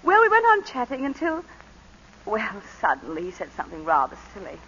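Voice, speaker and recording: An actress playing a composed planter's wife recounting a shooting, in 1940s radio acting. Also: female voice, medium pitch, wildly swooping pitch, fairly quick, fairly bright tone, a little hiss or hum. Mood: measured. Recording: muffled 1940s radio broadcast.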